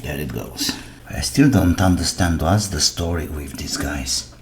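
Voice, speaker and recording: A man casually narrating a workbench repair, half muttering to himself in short phrases with pauses between them. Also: very deep voice, a little hiss or hum. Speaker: electronics repair host.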